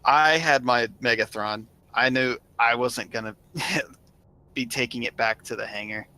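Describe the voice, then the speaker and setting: Man, sharp bright tone, medium pitch, casual group storytelling, gaming crew member. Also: measured pace, poor audio.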